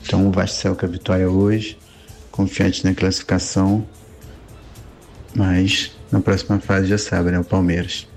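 A man's voice: sits low, completely flat delivery, moderate pace, vocal fry, a little mellow, faint music behind. A man sending a voice message to a sports show, casual fan banter. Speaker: caller.

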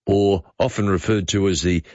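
A man's voice: sits low, steady tone, moderate pace, mellow, no echo, highs cut off. A man hosting talk radio, bantering off the cuff with dry teasing and pointed questions. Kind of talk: unscripted banter with a caller.

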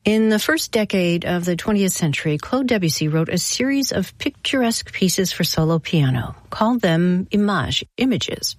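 A woman hosting a classical music radio show, reading scripted introductions in a measured storytelling manner. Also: fairly low pitch, expressive, no echo.

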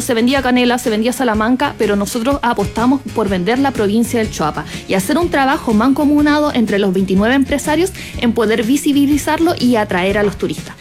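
Steady woman explaining. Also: a little high. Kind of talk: radio interview.

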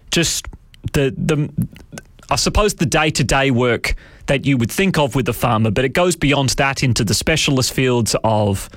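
A man hosting a talk radio show, speaking with a hoarse, raspy voice in a measured, explanatory way. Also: medium pitch, fairly bright tone, no room sound. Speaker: radio host. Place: radio studio.

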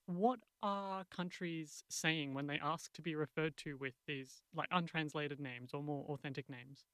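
A man presenting a radio segment, moving between scripted narration and interview questions in a crisp, measured delivery. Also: fairly high pitch, steady tone, a bit bright, no echo.

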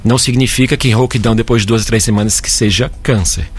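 A man speaking as a doctor guest on a radio talk show, calmly explaining health questions. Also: mid-range voice, quick pace, slightly rough. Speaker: head and neck doctor guest. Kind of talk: explaining medical topics on air.

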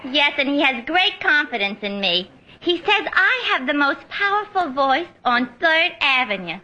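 An actress playing a brash, gullible, vain would-be singer, earnestly oblivious, in old-time radio comedy style. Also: female voice, high voice, very animated, moderate pace, bright, forceful tone, heavily acted. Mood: boastful. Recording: noisy old radio recording.

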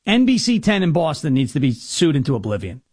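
An American man with a raspy voice from New York, hosting a talk radio show in an emphatic, jokey way. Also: on the high side, very animated, fairly quick, a little mellow, no room sound, clean studio sound. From New York, United States. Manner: emphatic, jokey, slips into impression bits. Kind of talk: political talk show monologue with comic bits.

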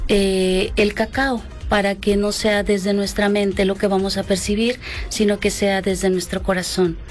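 A calm, reflective woman who grew up in Guatemala answers interview questions in a steady, flat tone. She pauses often and hesitates before her thoughts, with faint music in the background. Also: mid-range voice. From Mexico-Guatemala border, raised in Guatemala. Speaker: guest, wellness facilitator.

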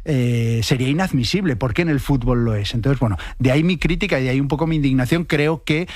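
A man with a Spanish accent talking casually and earnestly as a radio contributor, sometimes halting as he argues. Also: mid-range voice, a little mellow, no echo, studio quality.

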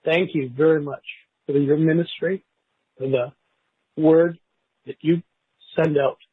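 A man in his sixties leaves a heartfelt voicemail over a thin, tinny phone line. His voice is fairly high for a man and rough-edged, and he speaks haltingly, pausing partway through his sentences. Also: fairly even intonation, dry recording. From Canada. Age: 60s. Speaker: listener leaving a voicemail, school bus driver. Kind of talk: heartfelt personal testimony by voicemail.

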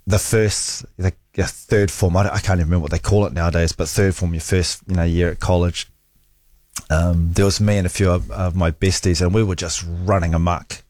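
A man's voice: low voice, darkish tone, dry recording. A middle-aged man with a New Zealand accent, a guest telling candid, self-deprecating stories about his life in a studio interview. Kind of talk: casual interview storytelling. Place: radio studio.

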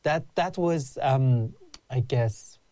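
A man's voice: mid-range voice, takes it slowly, mellow, no room sound. A man in his thirties, originally from Afghanistan and raised in Iran, speaking earnestly as an interview guest, often restarting his sentences. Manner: earnest, with frequent restarts and "like" fillers. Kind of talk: casual interview chat.

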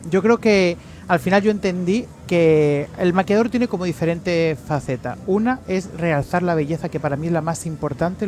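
A middle-aged man from the Canary Islands with a softened Canarian Spanish accent, chatting effusively as a makeup artist guest in a radio interview. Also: a little high, slight background noise. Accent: Canarian Spanish, somewhat softened.

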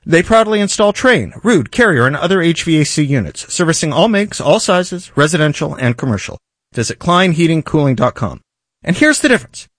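Lively male radio ad pitchman. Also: no room sound, studio quality. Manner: lively, personal pitchman delivery. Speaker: radio ad spokesman. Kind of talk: live-read radio commercial.

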